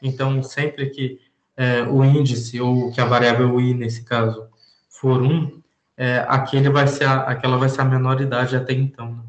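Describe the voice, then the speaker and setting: A man explaining a programming point to students, pausing often with fillers. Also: mid-range voice, flat delivery, reverberant, rough recording. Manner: halting, many "é" fillers while explaining. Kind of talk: informal programming lesson.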